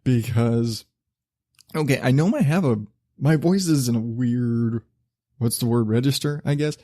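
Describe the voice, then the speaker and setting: A man hosting his own podcast, talking in a loose, rambling, self-deprecating way with a relatively low voice, laughing and swearing casually. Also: measured pace, mellow, no room sound, studio quality. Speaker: podcast host.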